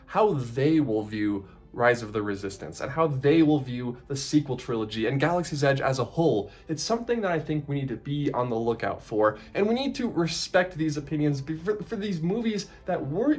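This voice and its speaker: A man with a head cold, sounding congested and nasal, talking casually through a video essay with rambling jokes and asides. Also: fairly high pitch. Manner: rambling, joking asides, warm thanks.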